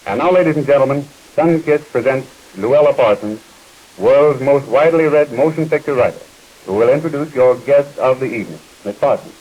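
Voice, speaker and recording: Old time radio announcer guy, mid pitch, formal scripted intro style, 1930s disc sound. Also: a little fast, darkish tone, lo-fi sound.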